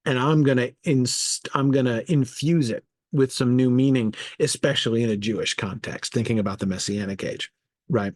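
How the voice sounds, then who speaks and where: A man's voice: mid-range voice, steady tone, normal speed, a little mellow, no echo, clean studio sound. A man leading an informal study session as a teacher, thinking aloud, often correcting himself and pressing his points with emphasis. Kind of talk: informal lecture with discussion of viewer comments.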